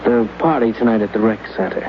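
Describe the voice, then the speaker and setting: An actor plays a smooth, bored young man of leisure who talks in an offhand, breezy way in a 1950s radio drama. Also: lowish voice, fast, lightly acted. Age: young adult. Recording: dull lo-fi radio with hiss, heard from off-mic.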